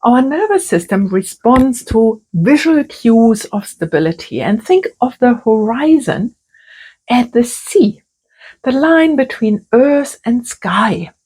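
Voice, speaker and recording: A woman working as a creative therapist, talking gently and softly through a calm crafting tutorial. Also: fairly high pitch, slightly rough, poor audio. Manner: gentle, soft-spoken, unhurried.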